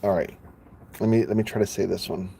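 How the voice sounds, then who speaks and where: A man's voice: deep voice, fairly even intonation, fairly quick, vocal fry, dark tone, breathy edge. A man chatting casually on a live stream, playful, laughing often and stumbling over names.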